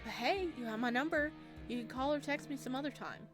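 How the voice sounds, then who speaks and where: A woman's voice: high voice, fairly quick, over music, close to an everyday voice. A woman voicing a friendly, puzzled visual novel protagonist in a casual, placating way. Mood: gently firm.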